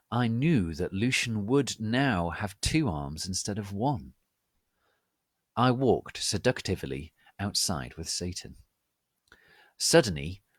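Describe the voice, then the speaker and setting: A man giving a theatrical, melodramatic dramatic reading of fan fiction as narrator, with a deadpan commitment to the text. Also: lowish voice, no echo, studio quality. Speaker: narrator, reader.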